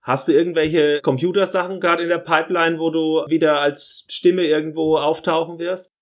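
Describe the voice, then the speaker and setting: Chatty male interviewer, slightly higher-pitched voice. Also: steady tone, normal speed. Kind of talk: casual interview.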